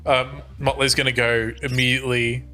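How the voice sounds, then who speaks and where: A man's voice: medium pitch, little pitch movement, normal speed, bright, forceful tone, faint music behind. A man playing a tabletop game, chatting in casual improvised banter with hesitant, circling phrases.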